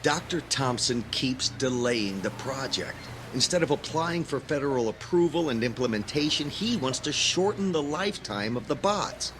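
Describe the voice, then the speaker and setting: A man's voice: mid-range voice, animated, normal speed, hardly any pauses, background noise, natural voice. A man acting in an audio drama as an earnest, idealistic young graduate student with an intense, preachy manner. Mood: earnest, explaining.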